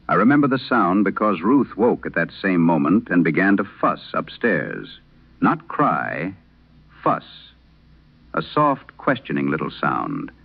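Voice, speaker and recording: A man performing as a late-night radio host, solemnly reading an eerie letter in measured, deliberate phrases through an old radio filter, in a vintage horror drama style. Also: low-pitched, creaky, heavily acted. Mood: grave, haunted. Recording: tinny band-limited old radio sound.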